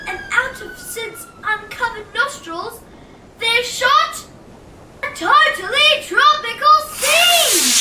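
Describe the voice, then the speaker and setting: A woman reading a children's storybook aloud as host, in a theatrical, exclamatory way with drawn-out emphasis. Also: extremely high pitch, pushed and bright, recorded from a distance, slight background noise. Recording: roomy echo, off-mic, music bed.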